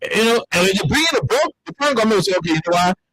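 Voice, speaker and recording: A man's voice: sits high, expressive, rapid-fire, bright, forceful tone, dry recording, rough recording. A man from Liberia with a Liberian English accent, hosting a radio talk show with heated political commentary and repeating words as he searches for them. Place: radio studio.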